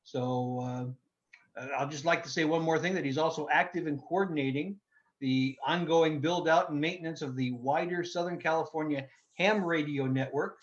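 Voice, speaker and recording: A man speaks as a host making a semi-formal introduction. His voice sits a little high for a man. The audio is somewhat dull and lo-fi, as from a video call. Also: moderate pace.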